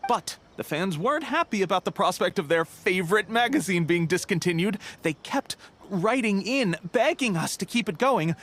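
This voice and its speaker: A man's voice: sits high, animated, a bit bright, slight background noise. A man voicing a polite, chatty bookshop clerk in an English anime game, helpful and deferential, with a hesitant conversational manner. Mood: hesitant, explanatory. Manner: hesitant, conversational, deferential, many hums and pauses.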